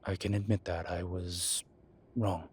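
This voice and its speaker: Man playing a deep, husky demon professor. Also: flat delivery, a little mellow. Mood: contrite. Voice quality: husky, breathy low voice. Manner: slow, halting, measured delivery with sighs. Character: ancient male demon professor, gruff but contrite and caring. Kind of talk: intimate audio roleplay to one listener.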